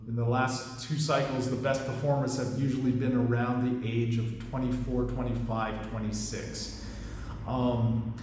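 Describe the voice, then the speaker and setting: A man in his thirties talks shop as a coach, easygoing and rambling, with plenty of fillers and false starts. His voice sits at an ordinary middle pitch. It rings through a very echoey space with a long reverb tail. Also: darkish tone, a little hiss or hum. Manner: rambling, with many fillers and restarts. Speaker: magic coach and competitor. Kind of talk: casual commentary.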